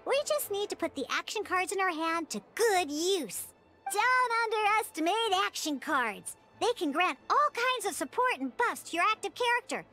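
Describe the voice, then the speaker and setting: A tiny, peppy game mascot with an extremely high, childlike squeak. The delivery is bright and lively, swinging eagerly through tutorial explanations and chirpy exclamations, with music playing behind. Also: female voice, moderate pace, exaggerated voice. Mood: explaining, encouraging. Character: peppy young cartoon game mascot guiding a card tutorial. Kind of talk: video game tutorial dialogue.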